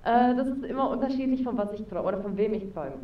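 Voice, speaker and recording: A woman's voice: fairly high pitch, very fast, echoey room, recorded from a distance, muffled recording. A young woman chatting as an interview guest over a video call with a headset, talking casually with lots of fillers. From Spain. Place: home room with neon sign, indoors.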